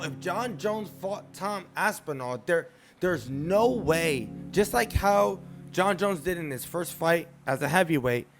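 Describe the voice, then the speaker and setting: Animated man ranting on his vlog. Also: a little high. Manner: animated, repeats phrases for emphasis. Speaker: sports commentary YouTuber. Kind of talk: casual opinion vlog.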